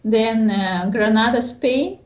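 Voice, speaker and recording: A woman in her thirties with a Russian accent, speaking hesitantly in a casual interview. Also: a little high. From Siberia, Russia. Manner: hesitant, fills pauses with "uh" and "well". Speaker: master's graduate. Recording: echoey room.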